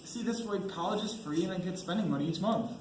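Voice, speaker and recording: A man's voice: high-pitched, fast, lightly acted. A young man in a school play acting a reluctant, easily needled young army sergeant. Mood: sheepish. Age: young adult. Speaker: student actor in a one-act school play. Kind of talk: amateur stage acting. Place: indoor stage, recorded from the audience. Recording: roomy echoing stage recording, loud noise.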